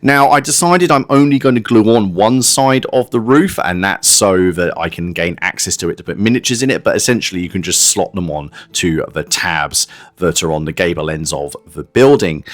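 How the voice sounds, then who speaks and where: A man's voice: lowish voice, no echo. A man presenting a hobby kit review in a casual, chatty, informal way with a self-deprecating streak. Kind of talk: casual hands-on product review narration.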